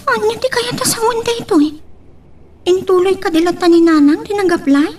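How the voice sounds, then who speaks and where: A woman's voice: very high-pitched, fairly quick, darkish tone, slight background noise. A woman playing an anxious, dutiful young daughter in an Ilocano audio drama, with an Ilocano accent. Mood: worried.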